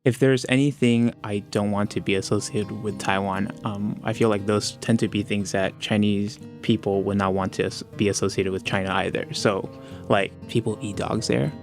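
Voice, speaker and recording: A man's voice: on the low side, steady tone, moderate pace, soft background music. A young man from the United States, a podcast guest, talking hesitantly with lots of fillers and restarts. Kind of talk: reflective personal interview.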